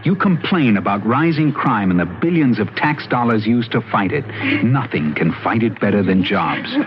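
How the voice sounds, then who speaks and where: A low-voiced man delivers a public service spot, earnest and persuasive, with animated inflection. The old broadcast is dull and slightly noisy. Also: normal speed, over music. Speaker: announcer. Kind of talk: scripted public service radio ad.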